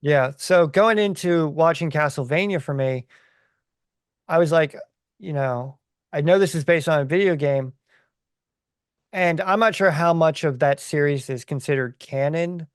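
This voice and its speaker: A man in a casual podcast conversation, speaking in a halting, measured way as he thinks aloud. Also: a little high, clean tone, no room sound, clean studio sound. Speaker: podcast co-host or guest.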